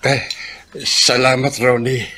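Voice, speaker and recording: A man playing a humble, remorseful old father in a radio drama, speaking in a hesitant, gentle way. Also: mid-range voice, level tone, takes it slowly, a little hiss or hum. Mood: mild, curious.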